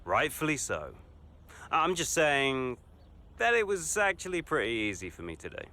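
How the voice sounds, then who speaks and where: An actor playing a cocky racing driver, talking in a smug, breezy, boastful way in a game cutscene interview. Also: male voice, medium pitch, sing-song, normal speed, sharp tone. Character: cocky, self-satisfied male Formula One racing driver.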